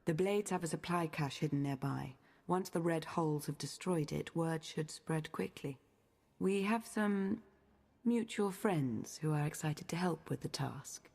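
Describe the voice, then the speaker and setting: Casting: calculating intelligence operative for a game; deep, gravelly-edged, controlled and formal. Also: female voice, unhurried, darkish tone, heavily acted. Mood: cool, measured. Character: cool, calculating female intelligence agent. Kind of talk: video game voice acting.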